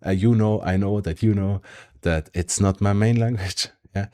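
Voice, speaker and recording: A man's voice: lowish voice, steady tone, normal speed, darkish tone. A man with a German accent, German his first language, giving a casual market analysis and often adding "yeah?" to check his point lands. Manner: tag questions and fillers, yeah, um. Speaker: stock market YouTuber.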